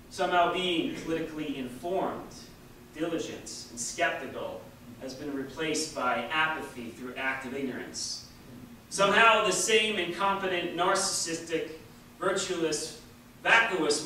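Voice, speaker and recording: Guy reciting a political speech far from the mic, sharp emphatic delivery, big echoey room. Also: a little high. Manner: emphatic, rhetorical repetition with deliberate pauses. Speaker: reader at a memorial staged reading. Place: large echoey indoor hall, distant microphone. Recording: echoey hall from far off, faint noise.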